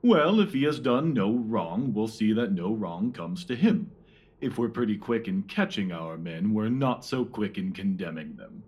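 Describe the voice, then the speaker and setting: Man playing a pompous, husky Victorian policeman. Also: on the low side, expressive, unhurried, darkish tone. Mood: officious. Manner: officious, pompous. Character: pompous Victorian police detective man, self-satisfied, officious. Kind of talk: dramatised mystery audio drama.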